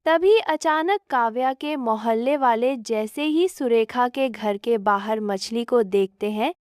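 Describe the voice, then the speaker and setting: A woman's voice: a little high, lively intonation, slow pace, a little mellow, clean tone, no echo, clean studio sound. A woman narrator reading a scripted story.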